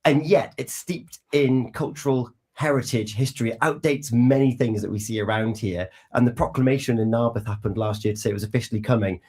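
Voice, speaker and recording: A man's voice: mid-range voice, a little fast, hardly any pauses, a little mellow. A man hosting a breakfast radio show, chatting with guests and leading long questions. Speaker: radio host. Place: radio studio.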